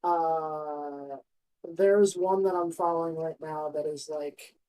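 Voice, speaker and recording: A woman's voice: deep voice, fairly even intonation, takes it slowly, dark tone, rough recording. A woman chatting casually and informally as she streams game cutscenes. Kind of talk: casual chat. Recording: music playing behind the voice.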